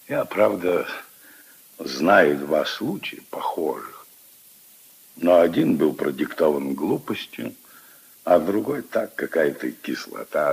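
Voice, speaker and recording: A deep-voiced old grandfather in a 1950s radio play, slow and expressive. He tells his tales of love intimately and emphatically, wistful and full of conviction. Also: vocal fry, slightly rough, exaggerated voice, poor audio. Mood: gentle, earnest. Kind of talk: 1950s radio drama acting.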